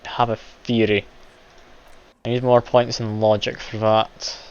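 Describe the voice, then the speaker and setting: A man's voice: on the low side, flat delivery, slow, darkish tone, clean tone. A man streaming a game live, chatty and self-deprecating, laughing often as he plays. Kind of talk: casual live game commentary. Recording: dull lo-fi stream audio, game music behind.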